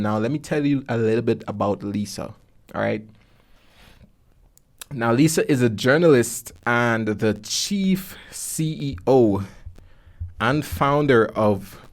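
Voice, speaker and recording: Young Caribbean man hosting casually. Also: mid-range voice. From Guyana. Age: young adult. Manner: informal, jokey, uses Caribbean slang. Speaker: podcast host. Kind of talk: casual podcast presenting and interviewing. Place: indoor podcast studio. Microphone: boom-arm studio mic, close.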